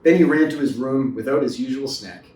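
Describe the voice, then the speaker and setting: A man's voice: mid-range voice, fairly quick, darkish tone. A man reading a children's story aloud as a narrator, recorded in a roomy space away from the mic. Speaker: storybook reader. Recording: roomy echo, distant mic.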